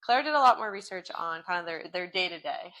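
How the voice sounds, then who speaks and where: A woman co-hosting a podcast in relaxed casual chat, her sentences trailing loosely. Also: on the low side, brisk. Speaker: podcast co-host.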